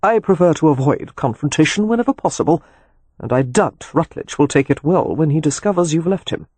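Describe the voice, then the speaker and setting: A narrator puts on a wry gentleman rake in an audiobook. He is affectionate and teasing, speaking with dry nonchalance in a husky voice, with no room sound at all. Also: medium pitch, expressive, a little fast, dry recording. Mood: fond, decisive. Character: Leo, wry, rakish, affectionate gentleman brother. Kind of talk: audiobook character dialogue.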